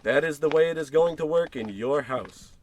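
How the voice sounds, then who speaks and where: Blustering working-class husband played by a man, slightly high pitch, money-worried and irritable, old-time radio comedy delivery. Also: moderate pace, slight background noise, lightly acted. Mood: gruff, impatient. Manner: blustering, sarcastic, grumbling. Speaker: actor in scripted comedy podcast.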